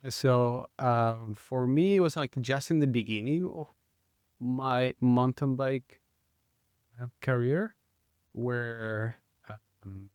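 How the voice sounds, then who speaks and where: A man in his thirties from Chile, with a Chilean Spanish accent, talking as a guest in a casual interview, halting and full of fillers and restarts. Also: medium pitch, a little mellow, clear, resonant tone, dry recording, studio quality. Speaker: guest, mountain bike guide.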